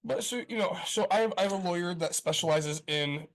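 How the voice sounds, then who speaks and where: Guy with a kinda high voice, rambling podcast chat, swears a lot, tons of ums. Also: brisk, rough recording. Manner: rambling, swears freely, lots of fillers. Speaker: guest explaining business topics.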